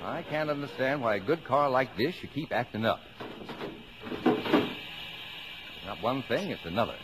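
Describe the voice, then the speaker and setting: A man's voice: mid-range voice, expressive, fairly quick, close to an everyday voice. An American actor in a mid-century radio drama, playing a jovial, middle-aged, blustery father with an exclamatory, mock-formal way of talking. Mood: exasperated. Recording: noisy old radio broadcast recording.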